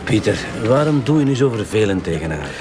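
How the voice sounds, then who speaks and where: Wry Flemish inspector, slightly distant. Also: male voice, fairly low pitch, sing-song, music in the background. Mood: mildly questioning. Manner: measured, polite, dryly teasing. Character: calm, wry, loyal male police inspector. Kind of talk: naturalistic radio drama acting. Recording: slightly distant, clear background noise.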